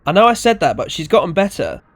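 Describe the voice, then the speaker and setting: A storyteller voicing a cocky, evasive, fast-talking man who manages clients, slangy, brazen and repeating himself. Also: medium pitch, big pitch swings, voice pushed up. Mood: defensive.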